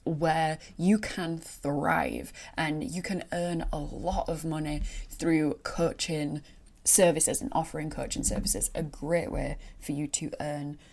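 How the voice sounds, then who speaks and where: A woman's voice: low-pitched, fairly even intonation, slow pace, vocal fry, darkish tone. A young woman with a Yorkshire accent talks in a chatty, encouraging way, coaching teachers and often restarting her phrases with fillers. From northern England. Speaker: online business coach for teachers. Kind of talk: solo talk to camera.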